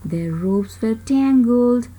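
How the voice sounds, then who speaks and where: A woman reading a children's story aloud in an expressive way, with dramatic pauses and emphasis. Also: a little high.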